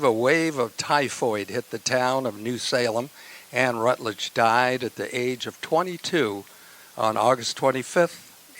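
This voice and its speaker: Male announcer, mid-pitched voice, formal, measured, scripted radio narration. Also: fairly bright tone, rough recording.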